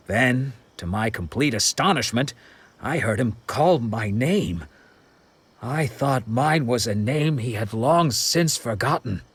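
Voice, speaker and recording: A man voicing a middle-aged former sword disciple who tells his past in a measured, reflective way, with soft music behind. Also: medium pitch, slightly rough. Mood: rueful. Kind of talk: video game voice acting.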